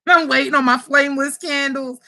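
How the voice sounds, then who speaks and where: High-voiced, chatty woman selling spiritual kits. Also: a little fast, gravelly. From Pittsburgh, USA. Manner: animated, chatty, swears freely, addresses viewers as y'all. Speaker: spiritual kit seller and channel host. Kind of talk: casual livestream chat to viewers.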